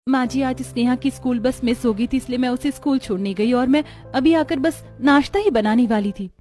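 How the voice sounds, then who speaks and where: A woman voicing a gentle, patient young wife and mother in a cartoon, who talks softly and pleads. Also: sits high, fairly quick, faint music behind, lightly acted. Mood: meek. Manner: soft, pleading. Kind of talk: Hindi cartoon voice acting.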